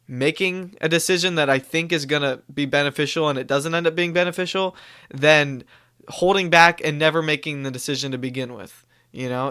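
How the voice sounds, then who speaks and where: A man's voice: a little high, bright, forceful tone, clear, resonant tone. A young man recovering from a cold, chatting casually and rambling on a live stream. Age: young adult. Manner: rambling, self-correcting, upbeat. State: stuffy from a cold, coughing.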